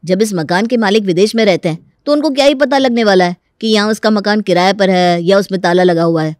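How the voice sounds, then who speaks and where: A woman voicing a bold, scheming young family man in a Hindi animated story, exasperated and persuasive in a colloquial way. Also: mid-range voice, brisk, no echo, studio quality, natural voice.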